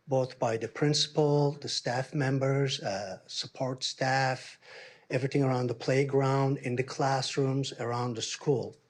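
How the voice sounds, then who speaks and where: Husky older man with a Turkish accent. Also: mid-range voice. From Istanbul, Turkey. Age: middle-aged or older, a grandfather. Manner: earnest, humble, halting and searching for words. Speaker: grandparent speaking as public commenter. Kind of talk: public comment at a board meeting.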